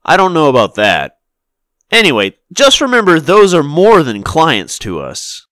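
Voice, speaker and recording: An actor plays a male shop manager in a voiced visual novel, cautious and eager to placate. His delivery is emphatic and very animated, in a close, dry recording. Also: fairly high pitch, unhurried, no echo, full character voice. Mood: instructing.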